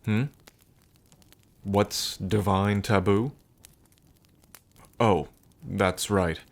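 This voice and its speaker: Casting: male actor as regal, affectionate dragon king for ASMR roleplay; low register, soft, wry, unhurried. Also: steady tone. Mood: patient, explaining. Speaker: roleplay character performer. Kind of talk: ASMR roleplay, intimate in-character monologue.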